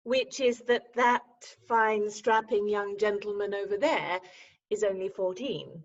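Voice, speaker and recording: A woman playing a breezy, teasing young Victorian lady in a stage farce, arch and expressive, recorded over a video call. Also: medium pitch, a little slow, muffled recording. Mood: sympathetic, practical. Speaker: actor in an amateur staged reading.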